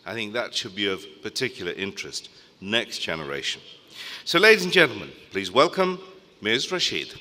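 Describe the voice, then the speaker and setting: Male emcee, bright clear voice, announcing over a hall microphone with long reverb. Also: lowish voice, big pitch swings, moderate pace. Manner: announcing, reads out credentials. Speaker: event emcee. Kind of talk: formal introduction. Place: large conference hall.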